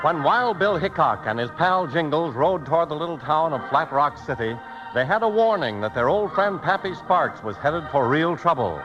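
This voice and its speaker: A male announcer in classic 1950s radio style, with a high voice and very animated pitch. He delivers scripted lines over music on a muffled old recording. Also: normal speed.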